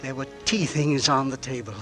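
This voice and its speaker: An actor playing an anxious, suspicious husband in a 1940s radio drama, melodramatic and fraught, swinging between hushed and frantic. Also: male voice, medium pitch, steady tone, normal speed, natural voice. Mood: grave, strained. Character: anxious suspicious husband, an Englishman, secretly dreading his poisoner wife. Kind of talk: 1940s radio drama acting, with first-person narration. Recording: vintage 1940s radio recording with music.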